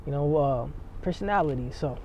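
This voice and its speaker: A man's voice: fairly high pitch, expressive, brisk, a little mellow, a little hiss or hum. A young man from San Diego, a rapper guest, chatting loosely with lots of fillers. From San Diego, USA. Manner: laid-back, rambling, heavy on filler words. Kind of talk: casual interview chat. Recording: dull lo-fi outdoor audio.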